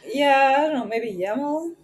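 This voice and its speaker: A woman's voice: high-pitched, measured pace. Thoughtful woman. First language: Romanian. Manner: hesitant, thoughtful, light laughs. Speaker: podcast guest. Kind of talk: casual interview answers.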